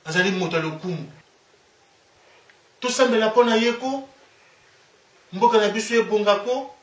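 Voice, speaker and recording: Impassioned high-voiced Congolese man, echoey. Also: moderate pace, recorded from a distance. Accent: Congolese French. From Republic of the Congo. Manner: emphatic, repeats his phrases, preachy. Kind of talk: impassioned monologue. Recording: echoey room, away from the mic.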